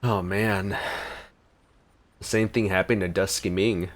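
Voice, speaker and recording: Low-voiced man reacting to a game. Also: steady tone, slow, a little hiss or hum. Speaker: gaming reaction streamer. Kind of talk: casual gameplay reaction commentary.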